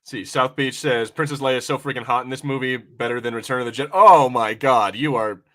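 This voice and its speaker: Bright, forceful man hosting a podcast. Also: mid-range voice, a little fast. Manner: opinionated, teasing, swears freely. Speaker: podcast host. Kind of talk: casual chat, commentary with live chat comments.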